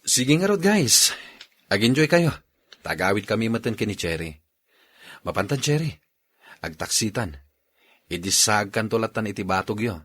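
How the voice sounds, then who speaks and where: A man with an Ilocano accent playing a friendly, gentlemanly young bachelor in an audio drama, casual and coaxing. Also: lowish voice, very animated, moderate pace, long pauses, slightly rough, exaggerated voice. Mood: courting. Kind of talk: radio drama acting in Ilocano.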